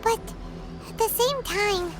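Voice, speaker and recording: A woman voicing a tiny, childlike, eager fairy companion in a video game, with a squeaky voice. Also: takes it slowly. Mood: concerned. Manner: refers to herself in third person, eager. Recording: prominent music under the voice.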